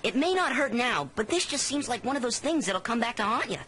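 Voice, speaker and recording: High, excitable cartoon kid. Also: female voice, brisk, slightly rough. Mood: cautioning. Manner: gushing, earnest. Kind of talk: animated cartoon voice acting.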